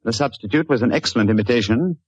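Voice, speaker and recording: An actor playing a shrewd, self-assured young gentleman detective with a British accent in 1940s radio style, speaking precisely and formally. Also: mid-range voice, fast, husky, dry recording, close to an everyday voice. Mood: measured, probing. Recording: muffled old radio recording.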